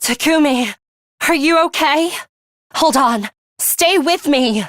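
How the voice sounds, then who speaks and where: A woman playing a serious, composed young female fighter in a video game, dryly scolding and sharp-tongued but caring. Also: high-pitched, lively intonation, no echo, clean studio sound, full character voice. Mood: worried, urgent. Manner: measured, stern, dryly scolding.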